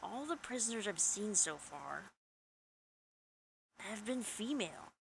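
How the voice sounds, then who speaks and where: A woman's voice: mid-range voice, big pitch swings, takes it slowly, vocal fry, slight background noise, rough recording. A woman gaming host reading visual novel narration aloud with dramatic first-person storytelling over game music.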